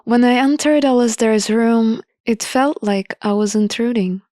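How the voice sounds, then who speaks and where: A woman with a fairly high voice narrates a visual novel as a playthrough host. She takes her time over the words, moving between expressive reading and casual remarks, with music playing softly behind her. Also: measured pace, no room sound, clean studio sound. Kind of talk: expressive read-aloud narration with casual commentary.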